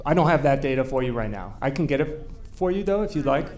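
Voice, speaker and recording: A man's voice: mid-range voice, expressive, quick pace, a little mellow, a little hiss or hum. A man representing a developer politely answers residents at a public meeting in a conciliatory manner.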